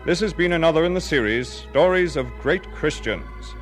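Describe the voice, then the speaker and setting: A man announcing a radio drama, reading scripted narration in a formal, measured and solemn manner. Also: a little high, lively intonation, faint music behind.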